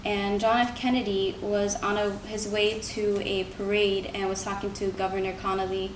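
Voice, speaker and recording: A woman's voice: mid-range voice, flat delivery, moderate pace. A young woman giving an earnest, informal persuasive speech, like a student presenting to a class. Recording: roomy, distant mic, noisy background.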